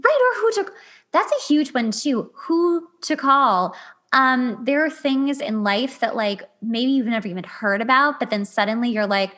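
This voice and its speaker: A woman's voice: high voice, normal speed. A woman hosting a podcast in a casual, chatty way, gushing and exclaiming as she talks. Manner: gushing, exclamatory, animated reactions. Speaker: podcast host.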